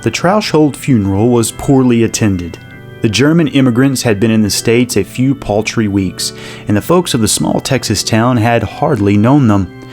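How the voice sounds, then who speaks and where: A man narrating a scripted horror story in a measured, dramatic storytelling manner. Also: mid-range voice, a little mellow. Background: music.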